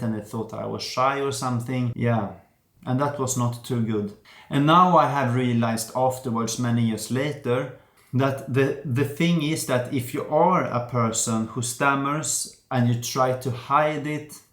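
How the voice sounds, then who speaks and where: A young man from Sweden, speaking calmly and thoughtfully about himself, stammering with repeated sounds and restarts. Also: mid-range voice. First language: Swedish. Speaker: vlogger sharing his stutter. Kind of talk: personal reflective talk to camera. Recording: roomy echo.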